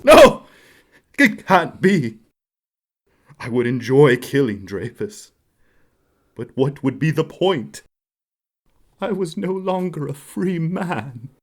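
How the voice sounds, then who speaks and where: Guy doing a sinister innkeeper in an audio drama, slow n theatrical, big pitch swings, music behind. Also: animated, exaggerated voice. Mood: outraged, desperate. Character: murderous male innkeeper, greedy, brooding, cornered by blackmail.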